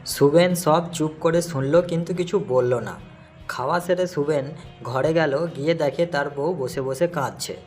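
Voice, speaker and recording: A man narrates a scripted cartoon story in a fairly high voice. Faint music plays behind him. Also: a little slow, darkish tone.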